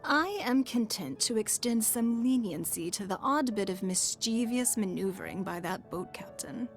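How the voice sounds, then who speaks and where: A woman voicing a poised, shrewd, wealthy young businesswoman in a fantasy video game, with formal, measured and elegant delivery. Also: medium pitch, expressive, natural voice. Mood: composed, measured. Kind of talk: scripted video game character voice lines. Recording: music behind the voice. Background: music.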